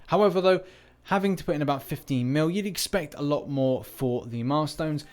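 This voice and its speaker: A man hosting casual gaming news commentary in a conversational, slightly rambling manner. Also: medium pitch. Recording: voice-over with music behind.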